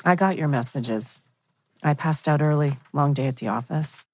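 A woman's voice: deep voice, moderate pace, a little hiss or hum, lightly acted, rough recording. A woman playing a cool, suspicious, weary middle-aged wife in a TV drama table read. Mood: weary, guarded.

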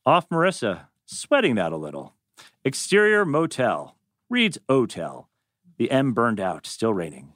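Male script narrator, mid-pitched, lively varied intonation, very dry sound. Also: unhurried, dry recording. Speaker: stage-direction narrator. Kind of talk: reading screenplay directions at a table read.

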